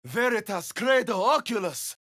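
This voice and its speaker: An actor playing a commanding male spellcaster in a fantasy video game, declaiming arcane incantations forcefully. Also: sits high, expressive, normal speed.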